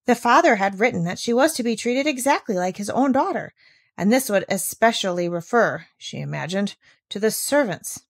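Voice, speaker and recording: A woman narrating a children's storybook aloud as an audiobook, with expressive delivery. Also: mid-range voice, no echo, clean studio sound.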